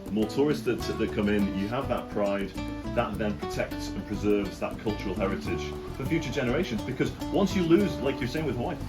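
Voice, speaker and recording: Male host, lower voice, supportive and earnest, guitar music behind. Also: lively intonation, brisk. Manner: encouraging, short affirmations. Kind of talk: casual interview chat.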